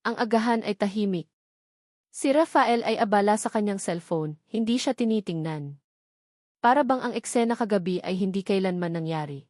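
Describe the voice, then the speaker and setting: A woman narrator with a Filipino accent tells a romantic drama from a script. Her voice sits at a medium pitch in a very dry recording. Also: animated, moderate pace, a little mellow, no echo. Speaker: story narrator.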